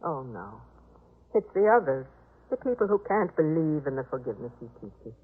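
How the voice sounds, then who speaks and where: An actress playing a gentle, anxious, compassionate wife in a 1950s radio drama, earnest and pleading in manner. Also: female voice, deep voice, big pitch swings, normal speed, husky, full character voice. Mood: consoling. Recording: muffled phone-like 1950s radio recording.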